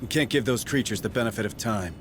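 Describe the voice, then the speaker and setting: Actor as a terse, commanding Marine sergeant. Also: male voice, on the low side, quick pace, noisy background, lightly acted. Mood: businesslike. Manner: terse, firm. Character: gruff, guarded, commanding male Marine sergeant.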